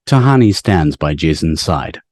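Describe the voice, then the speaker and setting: Low-voiced narrator of stage directions. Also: very animated, a little slow, a little mellow, dry recording. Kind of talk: scripted narration at a table read. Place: online video call.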